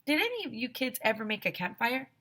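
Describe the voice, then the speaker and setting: Woman putting on an eccentric, animated storybook lady. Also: on the high side, quick pace, pitched above the performer's own voice, full character voice. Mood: matter-of-fact. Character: eccentric, blunt, food-loving woman from a children's storybook.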